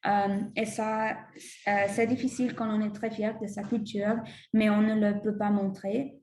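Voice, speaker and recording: A woman's voice: mid-range voice, level tone, normal speed, darkish tone, a little hiss or hum, close to an everyday voice. A woman as a hesitant young immigrant woman. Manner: hesitant, many "euh" fillers. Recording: roomy echo.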